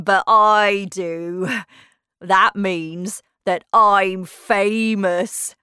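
A woman voicing a pompous, touchy, boastful male egg in a storybook, speaking with slow, indignant emphasis. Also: mid-range voice, fairly bright tone, smooth, no room sound, clean studio sound.

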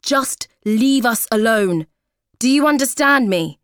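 A woman voicing an angry man shouting accusations in a phone message. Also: on the high side, expressive, takes it slowly, no room sound, clean studio sound, voice pushed up. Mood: furious.

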